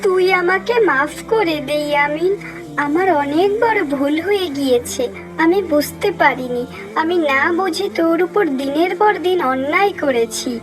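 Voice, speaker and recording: A woman's voice: very high voice, lively intonation, normal speed, poor audio. A woman voicing an emotional village stepmother in a children's cartoon, with a colloquial, heartfelt way of talking. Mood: remorseful. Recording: music behind the voice.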